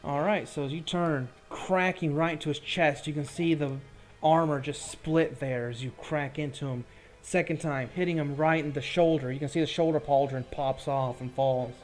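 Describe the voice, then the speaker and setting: A man's voice: a little high, fairly even intonation, moderate pace, faint music behind. A man, improvising combat narration as game master of a tabletop roleplaying game.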